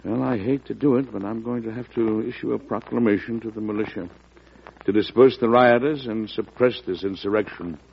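A man acting a weary, firm and principled President in an old-time radio drama, speaking in a measured, formal way. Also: fairly low pitch, creaky. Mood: troubled, reluctant. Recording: dull, noisy vintage radio recording.

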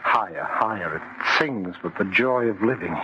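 Male actor for intense young dreamer in radio horror, fixated and fervent, deeper register, faintly noisy vintage recording. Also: moderate pace, dull audio, lightly acted. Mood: rapt. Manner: intense, rapt, insistent. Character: obsessive, dreamy young bridegroom fascinated by living fire. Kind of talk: old-time radio horror acting.

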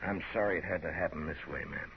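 A man playing a stern, steady frontier lawman in a 1950s radio western, terse and commanding. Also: a bass voice, fast talker. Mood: somber, courteous. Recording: noisy muffled 1950s radio recording.

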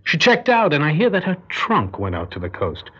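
Husky, calculating crime boss. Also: male voice, on the high side, wildly swooping pitch, exaggerated voice. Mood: quietly threatening. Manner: measured, calculating, quietly menacing. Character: shrewd, controlling male criminal mastermind planning a heist. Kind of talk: classic radio drama acting. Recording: dull lo-fi radio drama recording.

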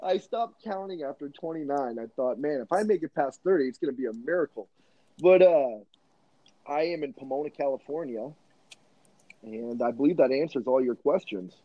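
A man's voice: medium pitch, expressive, moderate pace, no echo, highs cut off. A man in his thirties, a guest on a podcast, chatting casually and joking about himself with frequent laughs. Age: 30s. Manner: laughs often, self-mocking jokes. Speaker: guest, artist.

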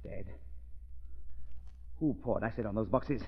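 An actor playing a deranged, vengeful villain with delusions of grandeur, ranting and accusing in a vintage radio drama. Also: male voice, on the low side, measured pace, vocal fry, slightly rough, full character voice. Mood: furious, accusing. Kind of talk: 1940s radio drama acting. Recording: dull, hissy old radio recording.

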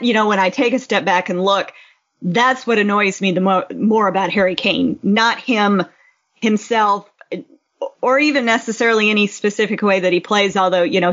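A woman's voice: medium pitch, fairly bright tone, lo-fi sound. A woman co-hosting a casual football podcast, talking in an informal, blunt way. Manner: informal, blunt, frequent "you know". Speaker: podcast co-host.

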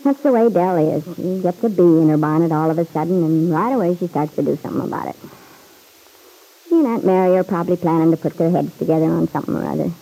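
A good-natured young woman sharing an apartment in a vintage radio serial. Her voice is lowish and mellow with a gravelly creak. She chats in a breezy, folksy, slangy way. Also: quick pace, poor audio. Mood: casual, chatty. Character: Lily, chatty, plain-spoken, good-natured young woman roommate. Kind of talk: old-time radio serial acting.

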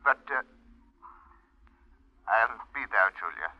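An actor playing a hesitant, worried singing teacher in a 1940s radio drama. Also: male voice, lowish voice, expressive, slow pace. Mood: anxious. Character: nervous, devoted male singing teacher. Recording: dull old radio recording.

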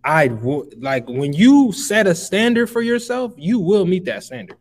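A man's voice: high voice, lively intonation, a little slow. A man in his twenties from Chicago, a guest on a podcast, talking emphatically and giving motivational advice. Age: 20s. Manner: emphatic, motivational, calls the listener bro. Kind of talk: casual podcast interview.

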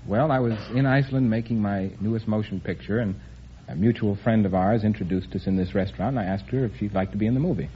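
A man's voice: on the low side, fast talker. A man, a quiz show contestant, who answers in a polite, earnest and slightly hesitant way. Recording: dull old radio broadcast with faint hiss.

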